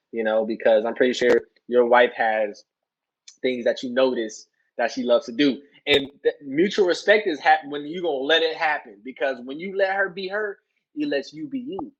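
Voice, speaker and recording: A man's voice: mid-range voice, muffled recording. A man speaking as a podcast guest in casual conversation, rambling earnestly and often checking in with "you know". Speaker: interview guest, soccer player.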